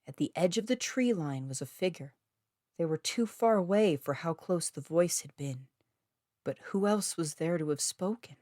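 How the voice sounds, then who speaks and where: Low-voiced woman narrating a story. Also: expressive, moderate pace, warm tone, dry recording, studio quality. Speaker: author reading own short story. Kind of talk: scripted narration of eerie fiction.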